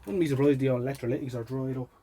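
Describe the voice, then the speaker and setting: An Irish man giving casual, informal commentary as he does hands-on repair work, muttering to himself in an unhurried way. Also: medium pitch, fairly even intonation, mellow, a little hiss or hum. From Ireland. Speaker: presenter of a vintage radio repair channel.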